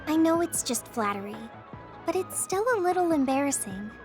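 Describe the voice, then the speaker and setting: Teenage girl soldier, high voice, earnest, cheerful yet self-doubting, video game, music faintly behind. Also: expressive, moderate pace. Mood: earnest, bashful. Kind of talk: English video game voice acting.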